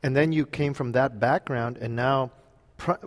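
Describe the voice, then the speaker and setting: A man's voice: mid-range voice, fairly even intonation, a little slow. Filipino-born guy asking lots of questions, easygoing chat, echoey room. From Philippines. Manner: probing, chatty questions. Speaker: interviewer. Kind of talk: conversational interview.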